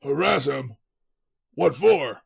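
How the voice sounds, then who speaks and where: Blustery middle-aged father, acted by a man, heavily gravelled, husky, boastful and easygoing, anime audio play. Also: a little high, lively intonation, slow, fairly bright tone, exaggerated voice. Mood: puzzled. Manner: boastful, dismissive of worries. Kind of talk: amateur anime audio drama acting.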